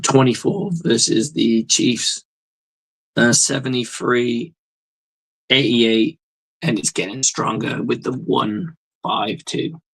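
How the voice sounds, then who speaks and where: Hoarse male fan host, swears freely, rambles through a casual postgame rundown. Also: mid-range voice, slow, fairly bright tone, rough recording. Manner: blunt, profane, rambling fan talk. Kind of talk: casual sports reaction monologue.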